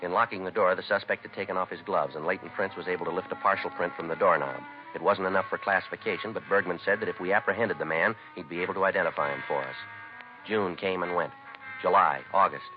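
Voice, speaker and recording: An American man playing a terse, dogged police detective in a 1950s radio crime drama, speaking in a deadpan, clipped, businesslike way. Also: low voice, quick pace, over music, natural voice. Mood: matter-of-fact. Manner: deadpan, clipped, matter-of-fact. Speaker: detective and narrator. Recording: dull, noisy vintage radio recording.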